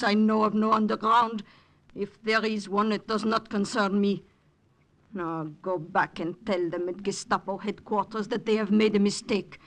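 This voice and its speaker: A woman's voice: medium pitch, natural voice. An actress playing an imperious, shrewd older Frenchwoman with a haughty, commanding manner in a 1940s radio drama.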